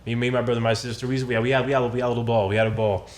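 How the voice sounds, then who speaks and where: A young American man talks quickly in loose, slangy podcast chat, repeating himself as he tells stories. His delivery is animated and the room adds noticeable echo. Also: medium pitch. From Detroit and Tennessee, United States. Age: young adult. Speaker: podcast guest. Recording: roomy, echoing sound.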